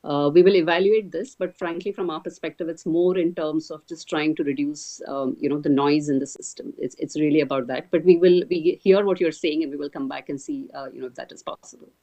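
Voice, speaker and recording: A woman, a finance executive, answering an analyst on an earnings call in a diplomatic, measured way. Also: low voice, steady tone, mellow.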